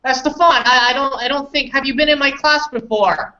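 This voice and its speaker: A woman's voice: high voice, a little fast, sharp tone. A woman with a Canadian accent leading an informal online English lesson, repeating and rephrasing simply for learners. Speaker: English conversation teacher. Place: indoors on an online group call. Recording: dull roomy online call audio.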